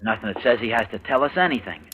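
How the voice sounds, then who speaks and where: A bitter, brooding young husband. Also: male voice, medium pitch, expressive, fast talker, slightly rough, highs cut off. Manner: tense, brooding, flaring into anger. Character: bitter young husband trapped caring for rich uncle. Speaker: actor. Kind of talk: 1940s radio acting. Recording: old radio broadcast with faint hiss.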